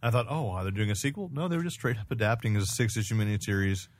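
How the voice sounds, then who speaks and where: An American man hosting a casual podcast chat, speaking in a halting, hedging way. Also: on the low side, animated. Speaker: podcast host. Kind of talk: casual chat about comics and pop culture.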